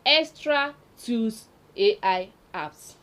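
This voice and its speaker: A woman's voice: on the high side, animated, drawn-out words, a bit bright. A woman with a Nigerian accent hosting a casual, unscripted tutorial, repeating points for emphasis and speaking directly to viewers. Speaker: video tutorial host. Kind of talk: casual unscripted explainer listicle.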